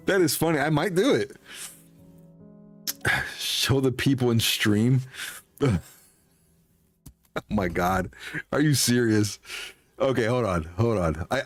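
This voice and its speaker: A man chatting casually as a livestreamer, in an amused way, often repeating himself. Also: medium pitch. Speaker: livestreamer playing a game.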